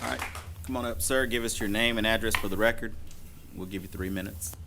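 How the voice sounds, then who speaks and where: A man chairing a public hearing at a city meeting, brisk and procedural as he calls up speakers. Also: fairly low pitch, steady tone, vocal fry, background noise. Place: city council chamber.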